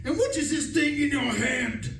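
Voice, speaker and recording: A man acting a pompous, indignant older gentleman in a comic radio play, speaking formally and emphatically. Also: very high voice, expressive, moderate pace, voice pushed up. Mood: outraged. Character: pompous, outraged older gentleman uncle, stern and imperious. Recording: roomy, distant, noisy live stage recording.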